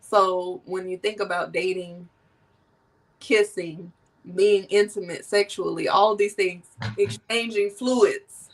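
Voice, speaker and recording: A woman's voice: mid-range voice, fairly even intonation, takes it slowly, far from the mic, poor audio. A woman hosting a casual conversation, a little nasal from a cold and laughing often. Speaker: podcast host.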